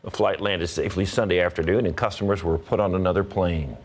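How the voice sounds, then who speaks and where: Low-pitched American male news anchor. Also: steady tone, fast. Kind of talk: scripted TV news reading.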